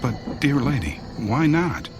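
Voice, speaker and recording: An actor in a radio drama playing a sinister, smooth-talking stalker with a courtly, menacing and deliberate manner. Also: male voice, medium pitch, sing-song, over music. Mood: courteous, probing.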